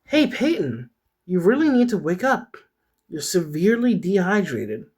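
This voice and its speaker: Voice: mid-range voice, sing-song, measured pace, darkish tone, husky, soft background music, pitched above the performer's own voice. A story reader voicing a caring but stern woman friend, concerned and firm.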